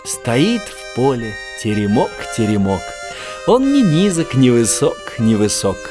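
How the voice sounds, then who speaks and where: A man narrating a children's fairy tale in an expressive, theatrical storytelling style. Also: mid-range voice, measured pace. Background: children's music.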